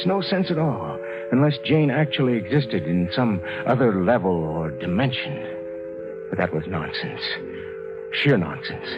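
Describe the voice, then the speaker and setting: An actor playing a troubled, skeptical friend in a 1950s radio drama, speaking and narrating with earnest, dramatic delivery. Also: male voice, on the low side. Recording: dull 1950s radio recording with music.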